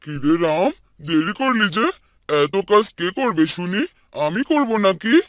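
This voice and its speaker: A woman voicing a bossy male employer who talks in a gruff, scolding way. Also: fairly low pitch, lively intonation, unhurried, no room sound, studio quality, pitched below the performer's own voice.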